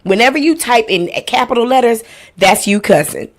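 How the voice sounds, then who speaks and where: A woman's voice: fairly high pitch, animated, moderate pace. Blunt, playful woman in her forties livestreaming. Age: 40s. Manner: blunt, playful, profane, slangy. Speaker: livestream host. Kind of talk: casual livestream chat with house rules and shout-outs.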